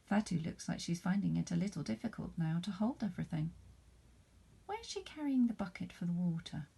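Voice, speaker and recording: A woman reading a picture book aloud to children in an expressive, animated way, putting questions to young listeners. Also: lowish voice, brisk, gravelly, breathy edge. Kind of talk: expressive storybook read-aloud.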